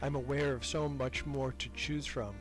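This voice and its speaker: A man's voice: medium pitch, steady tone, moderate pace, faint music behind. A man being interviewed as a podcast creator, talking casually and reflectively in a self-deprecating, hesitant way, repeating words as he thinks.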